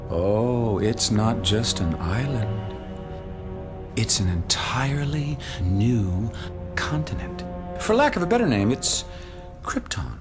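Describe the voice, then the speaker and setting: An actor playing a smug, grandiose villainous mastermind in a video game, speaking in a pompous, theatrical, menacing way. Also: male voice, on the low side, sing-song, takes it slowly. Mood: smug, taunting. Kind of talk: video game cutscene acting. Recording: loud music under the voice.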